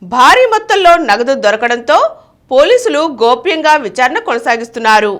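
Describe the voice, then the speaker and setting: A woman's voice: high voice, big pitch swings, normal speed, fairly bright tone. A woman reading a scripted news bulletin as a newsreader. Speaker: news reader.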